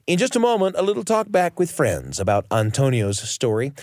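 A man's voice: medium pitch, very animated, brisk, a little mellow. A man hosting a radio show, introducing stories and chatting with guests. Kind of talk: hosted radio narration and chat. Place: studio.

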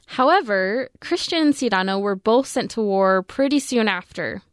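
A woman's voice: medium pitch, lively intonation, moderate pace, clean tone, dry recording, studio quality. A woman in her twenties, a student giving a prepared book review. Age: 20s.

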